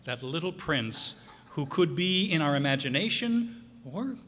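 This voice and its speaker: A man's voice: a little high, big pitch swings, a little slow, a bit bright. A man acting as master of ceremonies, introducing a cast in a live curtain-call announcement. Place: live performance hall. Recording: echoey hall with noticeable noise.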